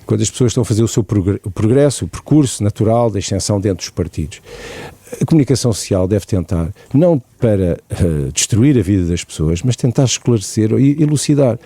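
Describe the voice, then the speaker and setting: Gravelly, dark-voiced man. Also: on the low side, expressive, brisk, dry recording. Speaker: radio commentator guest. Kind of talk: radio commentary.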